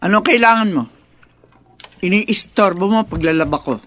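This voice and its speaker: A woman's voice: mid-range voice, normal speed, a bit bright, faint music behind, close to an everyday voice. An actress playing a stern, bitter mother in a melodramatic radio drama, scolding curtly. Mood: irritated. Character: angry, stern mother disowning her daughter.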